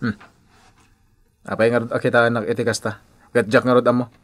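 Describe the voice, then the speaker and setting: A man's voice: medium pitch, level tone, fairly quick, no room sound, lightly acted. A Filipino man playing a taunting, drunken, abusive husband in a radio drama. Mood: dismissive, irritated. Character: menacing, drunken abusive husband, mocking and volatile. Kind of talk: Ilocano radio drama acting.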